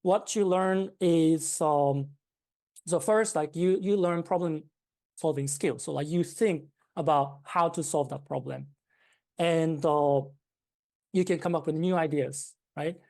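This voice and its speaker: A woman's voice: deep voice, a little slow, warm tone. A woman from Japan with a Japanese accent, a founder being interviewed, explaining at length with many fillers and restarts. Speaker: startup founder, guest.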